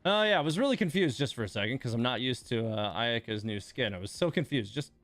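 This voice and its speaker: A man streaming a video game, thinking aloud in a relaxed, informal way as he gives casual live commentary. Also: mid-range voice, fast talker, no room sound, soft background music.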